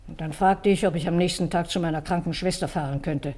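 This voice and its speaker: Woman acting as a bitter older housekeeper in a radio crime play, husky, curt, hard. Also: fairly low pitch, steady tone, quick pace, a little mellow, natural voice. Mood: curt, guarded. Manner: curt, embittered.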